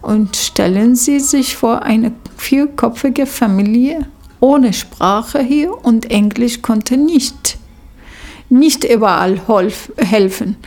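A woman's voice: a little high, takes it slowly, a little mellow. A woman whose first language is Arabic, working as a social worker, answering interview questions in a hesitant way with many fillers and restarts.

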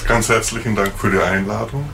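A man in his sixties from Germany, a philosophy professor answering interview questions calmly and plainly. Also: on the low side, moderate pace, gravelly, a little hiss or hum. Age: 60s.

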